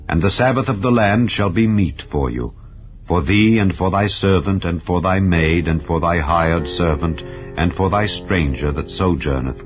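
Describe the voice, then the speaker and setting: A man reading scripture aloud in a solemn, measured way. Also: low-pitched. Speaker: Bible reader. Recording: dull lo-fi audio with faint background music. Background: soft ambient music.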